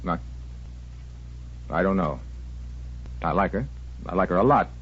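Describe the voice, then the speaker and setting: An actor playing a blunt, halting, self-deprecating veteran in a 1940s-style radio soap. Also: male voice, sits low, lively intonation, slight background noise. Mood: apologetic, earnest. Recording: muffled vintage radio drama recording.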